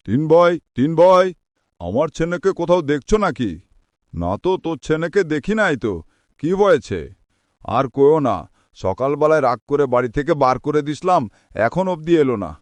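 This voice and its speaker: A short-tempered woodcutter father , voiced by a man, scolds and frets in a fairly high voice. The recording is dry, with no room sound. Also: no room sound. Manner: scolding, later desperate calling. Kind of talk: cartoon voice acting.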